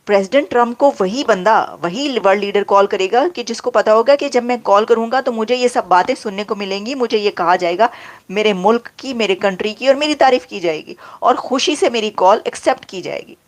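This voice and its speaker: A woman's voice: mid-range voice, a little fast. A woman with a Pakistani accent giving emphatic, unscripted political commentary, mixing in English phrases.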